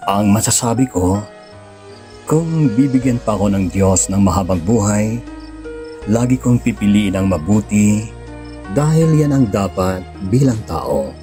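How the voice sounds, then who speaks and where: An actor playing a devout, tender young husband and father in a sentimental radio drama, speaking gently and sincerely with a Filipino accent. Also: lowish voice, animated, unhurried, pauses often. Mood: earnest, resolute. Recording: music bed under the voice.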